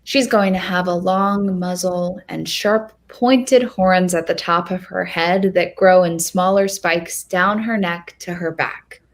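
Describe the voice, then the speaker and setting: A woman playing a hopeful, nervous young girl who tells her own storybook tale in a deliberate, expressive reading. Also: lowish voice. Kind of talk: first-person storybook narration.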